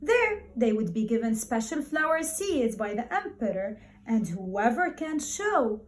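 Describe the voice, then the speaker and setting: A woman primary school teacher speaking to young children in an encouraging, expressive way, repeating words for emphasis as she talks and reads aloud. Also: a little high, a little slow, a little hiss or hum.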